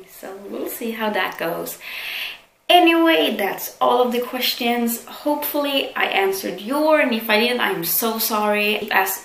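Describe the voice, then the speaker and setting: A woman's voice: medium pitch, creaky, a bit bright. A young adult woman from Sweden, chatting casually and candidly to camera as a self-deprecating vlogger. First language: Swedish. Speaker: vlogger answering viewer questions. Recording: echoey room with faint background noise.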